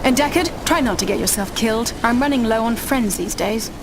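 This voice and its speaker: High-voiced, grave female resistance researcher played by an actress. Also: brisk, background noise, lightly acted. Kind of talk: video game cutscene acting. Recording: loud music behind. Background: music.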